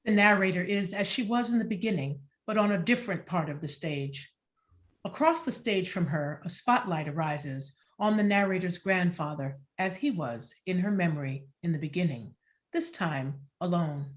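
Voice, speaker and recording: A woman's voice: fairly low pitch, animated, moderate pace. A woman reading scripted stage directions as narration for a staged play reading.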